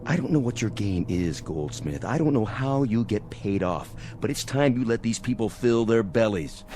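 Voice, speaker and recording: An actor playing a ruthless, commanding armed stranger who incites starving villagers in oratorical, taunting 1960s television drama style. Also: male voice, on the low side, lively intonation, normal speed, a little hiss or hum, lightly acted. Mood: menacing. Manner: domineering, taunting, oratorical, barking orders. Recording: old television soundtrack with loud music.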